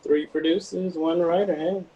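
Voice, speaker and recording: A man's voice: a little high, steady tone, moderate pace, warm tone, lo-fi sound, slight background noise. A man casually moderating a group discussion and chatting with the others. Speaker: moderator of the table read. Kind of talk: casual chat.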